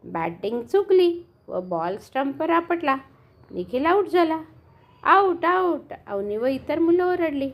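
An older woman narrating a children's bedtime story in an expressive, animated way. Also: sits high, measured pace, lo-fi sound.

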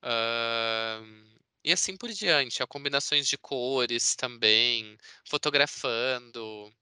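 A man's voice: mid-range voice, slow pace, sharp tone, smooth, no room sound, clean studio sound. A man with a Brazilian accent giving a chatty, explanatory webinar presentation over a video call. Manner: chatty, explanatory, often seeking confirmation with tag words. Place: indoor room on a video call. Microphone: video call microphone.

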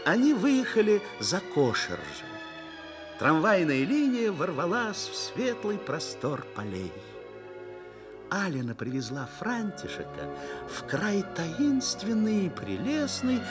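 A man narrating a literary radio drama in an expressive, lyrical storytelling manner. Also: on the high side, a little slow, over music.

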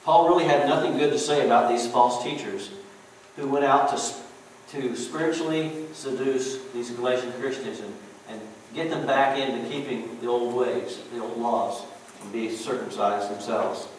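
A man's voice: mid-range voice, moderate pace. A middle-aged man preaching a sermon in a church sanctuary, in a folksy, conversational way with frequent "you know"s. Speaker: pastor. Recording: very echoey church room, distant mic, faint hiss.

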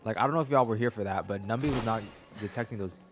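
A man's voice: fairly low pitch, quick pace, noisy background. A man in his twenties giving slangy, chatty live-stream commentary on a game, close to the mic indoors.

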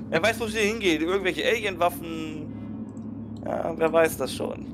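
A man's voice: fairly high pitch, a little slow, noisy background. A man chatting casually as a player in a tabletop role-playing game, grumbling and sarcastic. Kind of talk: casual in-character game chat. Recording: music bed under voice.

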